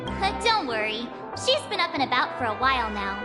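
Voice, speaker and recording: A woman's voice: high-pitched, sing-song, brisk, loud music behind the voice. A woman voicing a young, cheerful, eager girl companion in a video game. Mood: casual, teasing.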